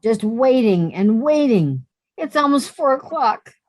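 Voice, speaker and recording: A woman's voice: on the high side, very animated, unhurried, a little mellow. A woman playing a sensible, exasperated young working woman with a sarcastic edge in a 1950s-style radio comedy. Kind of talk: 1950s radio sitcom acting.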